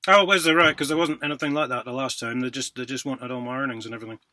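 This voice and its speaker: Fast-talking, insistent British man. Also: mid-range voice, fairly even intonation, pushed and bright, slight background noise. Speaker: caller disputing a court judgment. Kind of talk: casual phone conversation.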